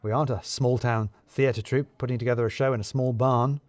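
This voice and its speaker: A man narrating a scripted first-person story in a wry, dryly comic way with deliberate phrasing. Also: mid-range voice, fairly even intonation, a little mellow, dry recording.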